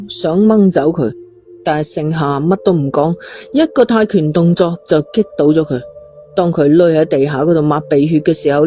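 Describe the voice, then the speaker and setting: A woman's voice: lowish voice, mellow. A woman with a Cantonese accent reading a suspense novel as a scripted, dramatic storyteller. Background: faint music.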